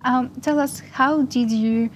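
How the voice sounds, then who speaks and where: A woman's voice: high-pitched, steady tone, slow pace, slight background noise. A woman hosting an interview program, asking careful, friendly questions in non-native English. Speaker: interview host.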